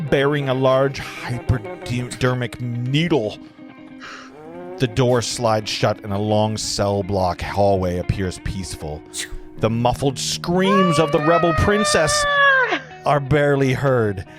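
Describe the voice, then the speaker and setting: A man reading screenplay scene directions aloud as the narrator of a table read. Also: medium pitch.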